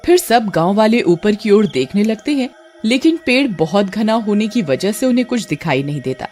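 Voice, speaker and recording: A woman's voice: medium pitch, sing-song, normal speed, a little mellow, soft background music. A woman giving scripted, dramatic narration of a story.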